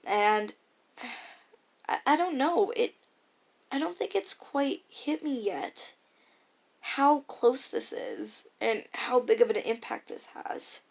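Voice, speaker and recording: A woman's voice: on the high side, expressive, takes it slowly, creaky, pauses often. A teenage girl recording a casual, reflective audio diary, musing hesitantly and trailing off at times. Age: teenager, high school freshman. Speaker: personal audio diarist.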